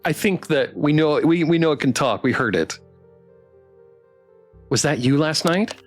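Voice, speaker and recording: A woman's voice: low voice, expressive, measured pace, soft background music. A woman streaming a game, reacting expressively in casual commentary and reading dialogue aloud. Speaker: streamer playing a game blind.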